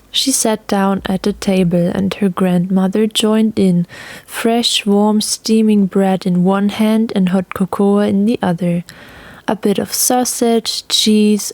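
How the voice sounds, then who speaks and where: Female storyteller, unhurried scripted narration, medium pitch, close and dry recording. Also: a little mellow, dry recording.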